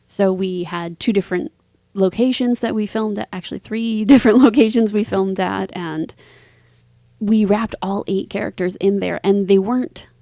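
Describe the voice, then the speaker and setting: A woman chats as a guest in a casual interview, phrasing things informally and leaning on key words. Her voice sits at a medium pitch in a dry, close recording. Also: normal speed, mellow, no room sound. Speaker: interview guest, writer and performer.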